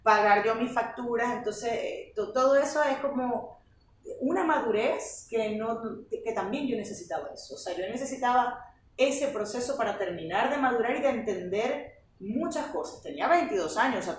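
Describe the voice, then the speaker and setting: A young woman with a Venezuelan accent, a guest telling stories in a lively, chatty podcast interview. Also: a little high, fairly quick. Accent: Venezuelan Spanish. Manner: chatty, animated storytelling. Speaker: guest, flamenco teacher. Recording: roomy, distant mic, faint noise.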